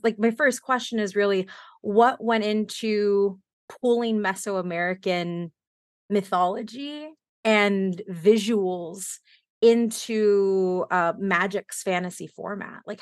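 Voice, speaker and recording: A woman's voice: medium pitch, no room sound, clean studio sound. A woman interviewing a guest, asking long, chatty questions full of fillers and restarts. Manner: chatty, hesitant with fillers and many "yeah" backchannels. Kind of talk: casual interview conversation.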